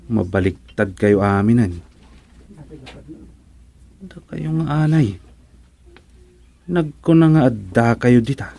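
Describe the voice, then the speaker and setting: A man playing a brooding, muttering villager in a radio comedy drama, speaking deliberately. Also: medium pitch, animated, vocal fry, mellow, exaggerated voice. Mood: menacing.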